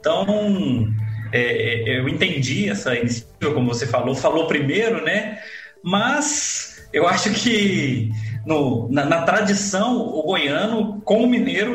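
Brief: man from Minas Gerais, talk-show host, high voice, roomy studio sound, easygoing delivery. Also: expressive, normal speed, fairly bright tone, soft background music. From Minas Gerais, Brazil. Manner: casual, conversational. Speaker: radio host.

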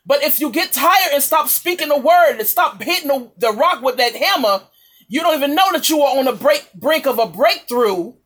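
High-voiced woman preaching with fervor. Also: lively intonation, moderate pace, fairly bright tone. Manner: exhorting, emphatic, repeats phrases. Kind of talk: impassioned charismatic preaching.

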